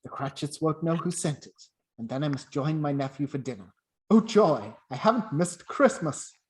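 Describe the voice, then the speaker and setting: A man's voice: fairly high pitch, expressive, moderate pace, a little mellow, close to an everyday voice. A man playing a reformed old miser in an amateur radio play, exclaiming with hearty, emphatic generosity. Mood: overjoyed, eager.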